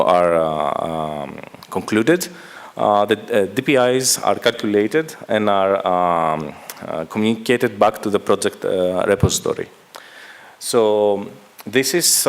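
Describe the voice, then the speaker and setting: A middle-aged man from Greece presents technical work at a conference, his voice sitting a little low. He talks haltingly, with frequent fillers and restarts. He holds his microphone away from his mouth on a large hall stage. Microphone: handheld microphone held at a distance.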